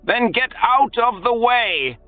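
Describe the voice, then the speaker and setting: A sharply pitched, commanding noblewoman played by a man who scolds everyone around her. Her voice swoops high and low. The sound is thin and phone-like, as if heard from across a room. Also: animated, slow pace, no room sound, faint music behind, exaggerated voice. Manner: haughty, scolding. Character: imperious older woman, court advisor.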